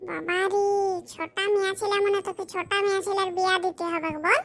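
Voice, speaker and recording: A voice actor doing a squeaky, disbelieving village friend. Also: level tone, brisk, gravelly, very noisy. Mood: incredulous. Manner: questioning, incredulous. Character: curious, skeptical village man, the friend. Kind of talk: cartoon comedy voice acting.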